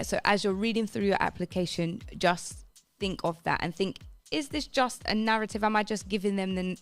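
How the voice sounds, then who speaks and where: A woman with a British accent speaks as a radio presenter giving instructional advice. Her voice sits a little low, and she explains point by point with clear emphasis. Music plays behind her. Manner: emphatic, explanatory, often checking with "okay?".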